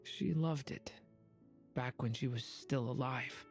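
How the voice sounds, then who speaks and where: A woman voicing a young dragonborn boy in a video game, polite, earnest, innocent and soft-spoken. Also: a bass voice, slow pace, faint music behind, exaggerated voice. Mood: wistful. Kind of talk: animated video game voice acting.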